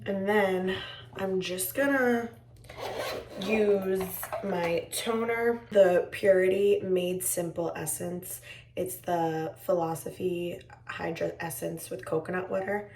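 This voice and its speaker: A young woman with a raspy, tired voice, chatting casually to her viewers in a home vlog. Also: lowish voice, fairly even intonation, slow, darkish tone, roomy sound, far from the mic, slight background noise. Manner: chatty, rambling, talks to viewers as friends. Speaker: vlogger. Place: indoors at home.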